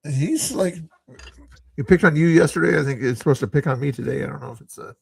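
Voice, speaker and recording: A man's voice: fairly high pitch, fast talker, vocal fry, slightly rough, dry recording. A man hosting a live stream, chatting in a laid-back way and speaking to his viewers. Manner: laid-back, talks to the chat. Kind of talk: casual live-stream chat. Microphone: streaming microphone.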